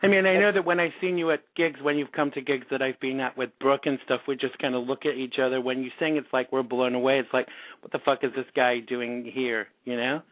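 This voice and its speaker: A man's voice: mid-range voice, fairly even intonation, brisk, no echo. A male podcast host in a casual interview chat, talkative and self-deprecating, laughing often. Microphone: call-in radio show line. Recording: tinny phone-line sound.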